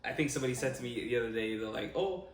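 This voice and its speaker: A man's voice: medium pitch, expressive, rapid-fire. A man from Jamaica chatting casually and teasingly as a vlog co-host, asking questions. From Jamaica (Montego Bay). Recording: roomy echo, clear background noise.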